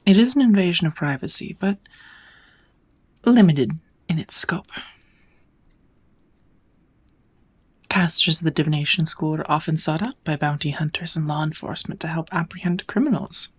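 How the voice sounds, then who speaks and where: A woman playing a kind, patient witch who teaches magic in an ASMR roleplay, speaking softly and often in a whisper, in a gentle, measured, encouraging way. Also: sits low. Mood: calm, instructive. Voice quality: soft, breathy, often whispered.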